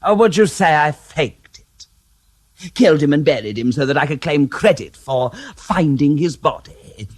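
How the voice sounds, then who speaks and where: An actor playing a smug, self-important male clairvoyant in a radio drama, with a breathy, hushed voice. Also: a little high, lively intonation, moderate pace, no echo, clean studio sound. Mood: theatrical menace. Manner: grand and self-important, sliding into hushed menace. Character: smug theatrical male clairvoyant, middle-aged.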